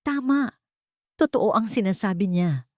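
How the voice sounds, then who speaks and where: A woman's voice: medium pitch, expressive, measured pace, no echo, studio quality, voice pushed up, close to an everyday voice. A woman storyteller voicing an angry, wronged old woman with a trembling voice in an audio drama.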